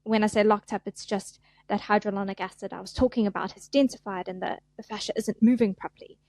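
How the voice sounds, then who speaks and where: A woman's voice: medium pitch, steady tone, fast, a little mellow, no echo. Chatty South African woman, dry close mic. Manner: chatty, self-deprecating, acts out little voices. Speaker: guest, fascia therapist. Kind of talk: casual podcast interview.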